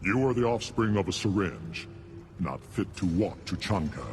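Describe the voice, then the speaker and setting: Hostile alien traditionalist, lowish growly voice, very varied intonation, haughty, game cutscene. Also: male voice, animated, normal speed, big-room sound, soft background music. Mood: disdainful. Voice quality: gravelly. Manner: haughty, declamatory. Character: hostile, proud traditionalist alien warrior rival. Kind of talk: video game cutscene acting.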